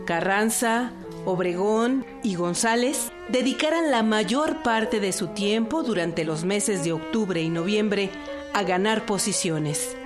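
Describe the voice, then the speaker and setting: A woman's voice: medium pitch, slow pace, music in the background. A woman giving scripted narration for a historical radio drama.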